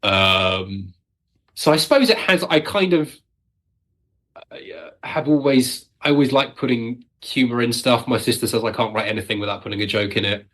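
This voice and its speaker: A man's voice: medium pitch, expressive, normal speed, a bit bright. A British man chatting casually as an interview guest, self-deprecating and wry, talking as a writer.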